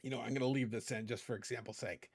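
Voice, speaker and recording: A middle-aged man with a hoarse voice hosting a casual video editing tutorial, chatty and rambling, often correcting himself. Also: mid-range voice, fairly even intonation, fast talker. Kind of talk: casual screen-recorded tutorial.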